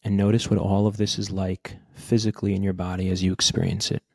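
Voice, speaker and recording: Deep-voiced man gently guiding a meditation. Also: flat delivery, creaky, slightly rough, no room sound, studio quality. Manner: gentle, unhurried, with long pauses between short phrases. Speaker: podcast host guiding a meditation. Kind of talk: guided meditation.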